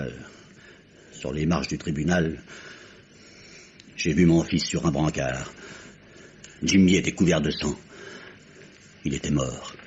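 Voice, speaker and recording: An actor playing a bitter, grieving old judge bent on revenge, speaking emphatically and haltingly in an old radio mystery style. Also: male voice, low voice, a little hiss or hum. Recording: muffled old radio drama recording.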